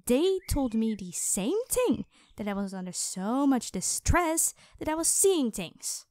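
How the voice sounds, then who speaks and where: A woman playing a distressed but stubborn young woman in an audio roleplay, speaking with a pleading, halting manner. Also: fairly high pitch, big pitch swings, a little mellow. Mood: upset, incredulous. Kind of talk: cinematic audio roleplay acting.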